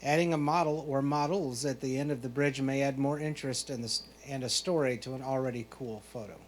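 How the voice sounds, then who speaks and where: A man's voice: mid-range voice, steady tone, fairly quick. Man reading critiques over noisy hum. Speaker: photo competition judge. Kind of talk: reading out prepared photo critiques. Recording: clear background noise, hum.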